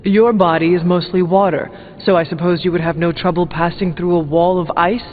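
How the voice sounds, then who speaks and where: Man playing high-voiced blunt hunter, reverberant. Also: level tone, fairly quick, a little hiss or hum, natural voice. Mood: challenging. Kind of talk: scripted video game dialogue. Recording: phone-like game audio, long hall reverb.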